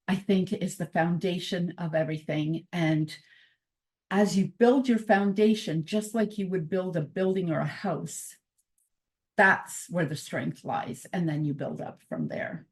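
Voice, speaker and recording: A middle-aged woman, originally Croatian-speaking, giving an upbeat motivational talk as a mindset coach, with frequent laughs and emphatic repeated phrases. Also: lowish voice. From Canada, born in Croatia.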